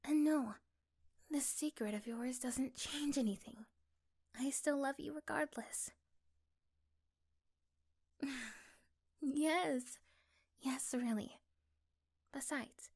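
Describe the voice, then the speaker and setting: Woman performing a loving young girlfriend, high voice, soft-spoken, reassuring, intimate ASMR roleplay. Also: slow, vocal fry, pauses often, heavily acted. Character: affectionate, reassuring young girlfriend chatting intimately to her partner.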